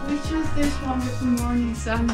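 Upbeat woman vlogger, high voice, handheld camera, loud music behind. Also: fairly even intonation, fairly quick. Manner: chatty, upbeat. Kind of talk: casual vlog chat. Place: wooden holiday house, indoors. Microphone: action camera held at arm's length. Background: music playing behind.

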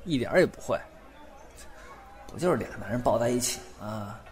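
A man's voice: medium pitch, animated, moderate pace, natural voice. A man playing a blunt, nervously curious young man in an audio drama, questioning expressively and slipping between muttering and blurting. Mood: hesitant. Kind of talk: Chinese audio drama acting.

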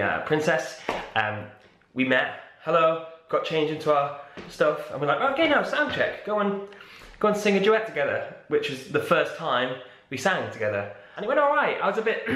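A young man talking casually to a handheld camera, chatty and animated with lots of filler words. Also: a little high, roomy sound. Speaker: vlogger and stage actor. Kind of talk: casual vlog chat. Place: hotel room and corridor indoors, garden outdoors. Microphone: camera held at arm's length.

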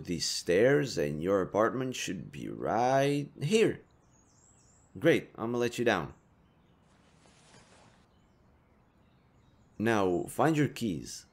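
A man's voice: lowish voice, vocal fry, a little mellow, full character voice. A man acting a kind, teasing young stranger who guides a lost girl, speaking casually to the listener in an intimate roleplay audio drama. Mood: playful, reassuring. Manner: casual, playfully teasing, mildly awkward, swearing.

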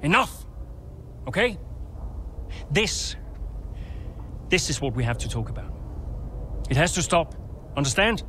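Strained middle-aged man running a racing team in a game drama, lively intonation, faint background noise. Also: a little high, a little slow, pauses often, heavily acted. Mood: exasperated. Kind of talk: naturalistic game cutscene dialogue.

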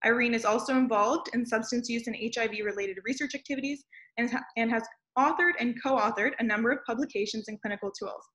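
A woman moderating a video-call webinar, reading out a speaker's introduction in a formal, brisk way. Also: a little high, a bit bright, rough recording.

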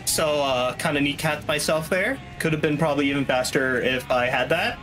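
A man's voice: on the high side, moderate pace, fairly bright tone, rough recording. A man giving casual, rambling, wry commentary as the runner in a video game speedrun. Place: small room at home, indoors. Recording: game music behind the voice. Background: video game soundtrack music.

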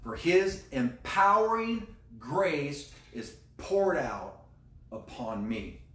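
A man's voice: a little high, big pitch swings, drawn-out words, a bit bright. American pastor, man preaching in echoey room. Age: middle-aged. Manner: emphatic, repeats key phrases. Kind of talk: sermon teaching, conversational. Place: indoor room beside a screen. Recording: roomy echo, distant microphone.

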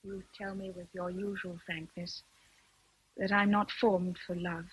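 An actress with an English accent playing a passionate, independent young Victorian governess in a radio drama, earnest and emotional. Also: female voice, fairly low pitch, level tone, a little slow, a bit bright, breathy edge, exaggerated voice, rough recording. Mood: firm, pained. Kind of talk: BBC radio drama acting.

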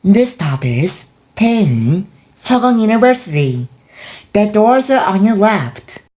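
A woman reads scripted subway announcements extremely slowly. Her mid-pitched voice moves up and down in an animated, melodic way.

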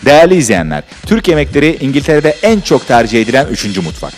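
A man's voice: medium pitch, big pitch swings, fast, over music. A man narrating a scripted TV voice-over.

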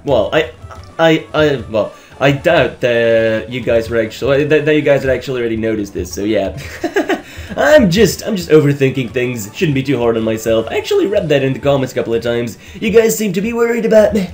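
Rambling raspy Belgian man gaming. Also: medium pitch, sing-song, brisk, darkish tone. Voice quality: raspy from just waking up. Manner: rambling, stammering restarts, joking, laughs often. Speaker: let's play host. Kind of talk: casual gameplay commentary.

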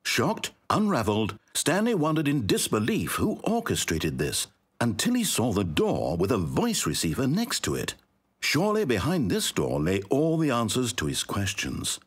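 A fussy, self-important British storyteller narrating a video game. His pitch swings dramatically through theatrical, articulate phrases, and the voice has a husky edge. He is wry and needy in equal measure. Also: full character voice.

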